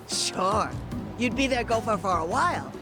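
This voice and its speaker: An actress voicing a sharp-tongued, teasing, streetwise woman in a video game dub. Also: medium pitch, lively intonation, normal speed, over music, close to an everyday voice. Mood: scolding. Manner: teasing, sardonic. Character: sharp-tongued, mocking, streetwise woman needling a young gangster.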